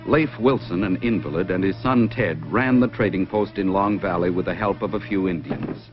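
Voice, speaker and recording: A man's voice: deep voice, normal speed. A man giving scripted narration as the storyteller of an old-time radio adventure. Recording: dull lo-fi old radio, music behind.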